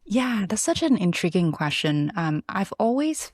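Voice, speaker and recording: A woman from Vietnam, answering interview questions in a reflective, halting, conversational way. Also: medium pitch, very animated, darkish tone, dry recording, clean studio sound. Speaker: interview guest, product builder and designer.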